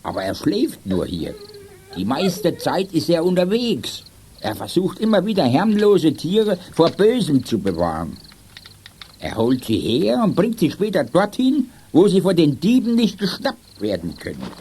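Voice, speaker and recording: A man's voice: a little high, huge pitch swings, measured pace, dark tone, slight background noise, exaggerated voice. Lonely old watchman, swooping voice. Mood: wistful, chatty. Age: older. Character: lonely old male quarry watchman, former blaster. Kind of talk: children's radio play acting.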